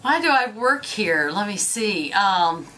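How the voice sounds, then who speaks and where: A woman's voice: medium pitch, very animated, takes it slowly, very noisy. A middle-aged woman giving casual interview answers. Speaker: college ESL teacher. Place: small office indoors. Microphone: camera a few feet away.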